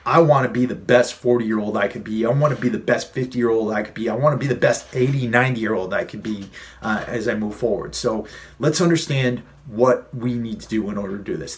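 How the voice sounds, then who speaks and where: A man in his late thirties hosting a fitness advice vlog, earnest and conversational, repeating key points for emphasis. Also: mid-range voice. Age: 30s. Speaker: fitness coach and vlog host.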